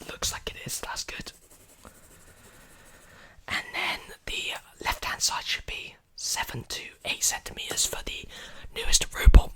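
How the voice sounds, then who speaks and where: A man in his early twenties whispering softly and breathily in an ASMR roleplay, soothing and repeating short phrases, very close to the microphone. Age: early 20s. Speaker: ASMR creator playing a robot repair technician. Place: small indoor room. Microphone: desktop condenser mic very close to the mouth.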